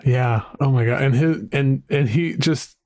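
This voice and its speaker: A man hosting a music podcast, chatting casually with a deep voice, rambling with fillers and restarts. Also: unhurried, warm tone, no echo, studio quality. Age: adult, a parent. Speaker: podcast host. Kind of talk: casual conversation about music.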